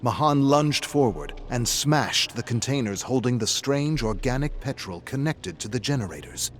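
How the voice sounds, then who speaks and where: A man's voice: mid-range voice, animated, normal speed. A man reading scripted horror fiction as a narrator, in a dramatic, suspenseful style.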